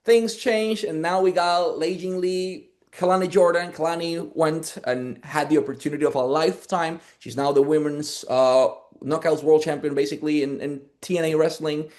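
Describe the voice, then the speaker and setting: A man's voice: a little high, steady tone, moderate pace, few pauses, darkish tone. A man hosting a wrestling interview, eager and talkative as he asks his questions. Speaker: interview host. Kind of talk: energetic sports interview.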